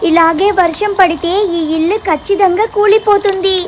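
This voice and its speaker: A woman voicing a flustered, fretful mother in an animated family story, speaking in worried exclamations. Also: very high voice, moderate pace, background noise. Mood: dismayed. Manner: exclamatory, fretting.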